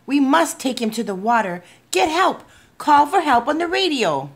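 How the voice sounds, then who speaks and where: A frantic young boy, played by a woman reading a storybook, pitched high and swinging widely. He is impulsive and pleads urgently, as if everything must happen at once. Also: sing-song, moderate pace. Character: frantic, impulsive young boy who overfed his fish. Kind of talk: children's storybook read aloud.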